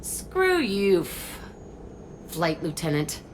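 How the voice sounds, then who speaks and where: A woman's voice: on the low side, very animated, drawn-out words, slight background noise, heavily acted. A woman playing a brash, irreverent military teacher in a game, with a sardonic swagger. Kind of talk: cinematic game dialogue.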